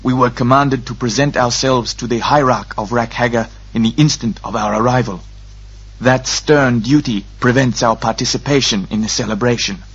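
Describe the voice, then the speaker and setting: Man as a guttural old pilgrim, husky and formal. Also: medium pitch, steady tone, normal speed, highs cut off. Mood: humbly deferential. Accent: guttural invented accent. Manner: formal, deferential. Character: wily old sorcerer posing as humble pilgrim.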